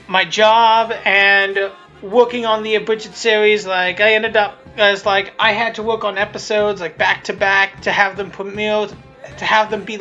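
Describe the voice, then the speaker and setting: Rambling, bright-voiced woman. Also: mid-range voice, dull audio. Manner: rambling, often restarts and repeats phrases. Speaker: creator of a fan abridged series. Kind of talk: casual, unscripted episode commentary.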